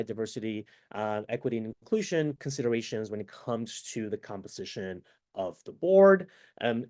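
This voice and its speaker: A man's voice: mid-range voice, a little mellow. A man from Venezuela leading an online training workshop over a video call, upbeat and interactive in manner. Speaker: workshop facilitator.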